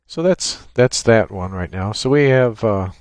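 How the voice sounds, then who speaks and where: A man presenting an unscripted screen-share software tutorial in a halting, thinking-aloud way. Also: lowish voice, expressive, no room sound, clean studio sound.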